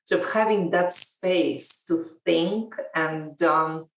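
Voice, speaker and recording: Lecturer woman from ukraine, calm and measured, kinda far from the mic, echoey. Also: low-pitched. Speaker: guest, education lecturer. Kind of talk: interview answers. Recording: roomy, distant mic.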